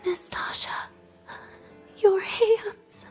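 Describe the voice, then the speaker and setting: Young female student, extremely high voice, unhurried, reserved, fragile, game character, light music behind. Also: steady tone, whispered, full character voice. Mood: worried, pleading. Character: frail, guarded girl student, quietly attached to her friend. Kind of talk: video game voice acting.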